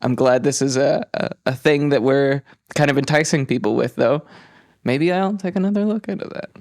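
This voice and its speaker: A man's voice: medium pitch, moderate pace, a little mellow. A man co-hosting a podcast in casual, offhand chat. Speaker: podcast co-host.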